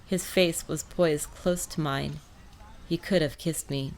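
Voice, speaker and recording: Low-pitched woman narrating fiction. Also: fairly even intonation, slow, vocal fry, a little hiss or hum. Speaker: audiobook narrator. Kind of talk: scripted first-person fiction narration.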